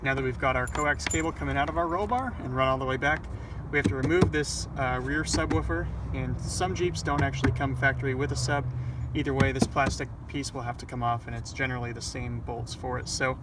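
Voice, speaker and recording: A man talks through a hands-on installation in an informal, step-by-step way. His mid-pitched voice sits away from the microphone, with loud outdoor vehicle noise all around it. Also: a little fast, recorded from a distance. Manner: informal, explaining step by step as they work. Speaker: DIY installation host. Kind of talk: casual hands-on tutorial narration.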